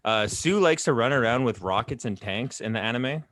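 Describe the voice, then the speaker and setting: A man hosting a casual podcast chat, animated and laughing often, with some swearing. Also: mid-range voice, moderate pace, no echo, clean studio sound. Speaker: podcast host.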